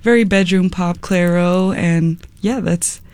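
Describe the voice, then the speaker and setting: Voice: medium pitch, measured pace, dry recording. Laid-back singer with many fillers. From United States, Mexican American. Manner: relaxed, rambling, many fillers. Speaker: guest singer-songwriter. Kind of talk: casual interview chat.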